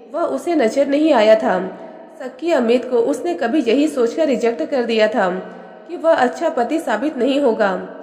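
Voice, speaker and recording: A woman narrating a moral story in an even, measured reading voice, doing all the characters herself. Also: high voice. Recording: echoey room with long reverb.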